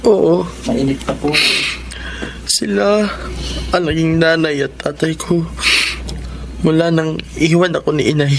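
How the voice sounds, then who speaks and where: An actor playing a tender, lost young grandson in grief in a Filipino radio drama, with an emotional, plaintive delivery. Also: male voice, a little high, steady tone, takes it slowly, gravelly, pauses often, background noise. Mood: subdued sorrow. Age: young adult. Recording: music under the voice.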